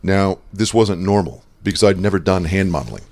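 A man with a low voice reads his own blog aloud as one of the hosts of a comic podcast. He delivers it dry and deadpan, in a flat narrating tone. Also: fairly quick. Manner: dry deadpan reading, breaks into laughs. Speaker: podcast co-host.

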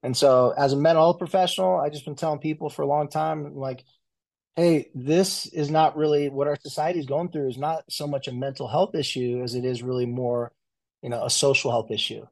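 A man's voice: on the high side, fairly even intonation, fairly quick, creaky, a little mellow. A man in his forties, a therapist, talking loosely in a casual interview with many fillers and restarts. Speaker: guest, family and marriage therapist.

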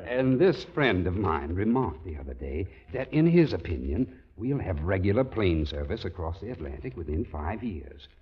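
An actor playing a polished, flattering, self-important government man with courtly manners in a 1940s radio comedy, on an old recording. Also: low-pitched, moderate pace, hardly any pauses, breathy edge, full character voice. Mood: smooth, self-important. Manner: polished, courtly, name-dropping. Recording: dull vintage radio recording.

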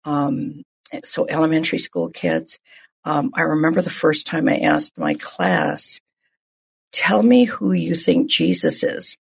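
Female radio host, very husky, low pitch, effusive, encouraging, conversational interviewing. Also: sing-song, a little slow.

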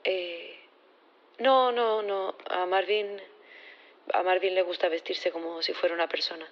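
Casting: nervous female professor testifying; lowish voice, halting and unsure, naturalistic audio drama, slightly dull sound. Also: fairly even intonation. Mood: hesitant, earnest. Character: nervous, hesitant female science professor on witness stand. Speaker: actor in audio fiction.

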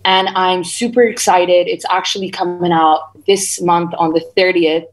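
A woman's voice: lowish voice, moderate pace, low-quality recording. A woman singer-songwriter being interviewed, chatting casually in an effusive, slangy way as she tells stories. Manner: effusive, slangy, animated storytelling. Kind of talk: casual chat, radio interview. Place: remote video call.

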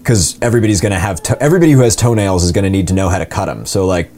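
Low-pitched young man with polished voice. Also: racing, a little mellow. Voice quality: polished announcer-like voice. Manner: self-deprecating, joking. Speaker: voice actor guest. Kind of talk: casual interview storytelling.

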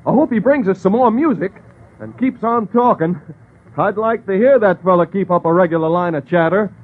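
An actor playing an eager, excitable young wireless operator in 1940s radio drama style, given to exclamations. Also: male voice, sits high, normal speed. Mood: amazed, excited. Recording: tinny 1940s radio broadcast, music behind.

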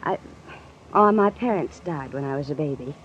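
Lively, coy young socialite. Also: female voice, low voice, moderate pace. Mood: coy, playful. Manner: playful, coy, breezy. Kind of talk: 1940s radio acting. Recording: muffled, noisy old radio recording.

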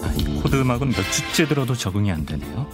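Man hosting radio, deep but animated. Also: fast, loud music behind the voice. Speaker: radio show host. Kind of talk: conversational current-affairs radio hosting.

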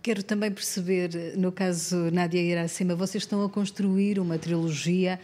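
Measured woman host. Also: on the low side, fairly even intonation, warm tone. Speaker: radio culture show host. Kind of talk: radio interview.

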